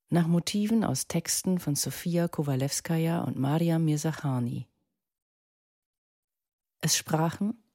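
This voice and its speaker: A woman's voice: deep voice, dark tone, husky, no echo, clean studio sound. A woman reading scripted credits as a radio drama announcer. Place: dry studio.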